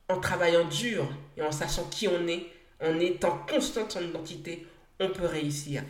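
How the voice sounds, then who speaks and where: A woman's voice: on the low side, echoey room. A woman from France hosting a solo podcast, speaking earnestly in short emphatic phrases with the odd hesitation. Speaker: podcast host.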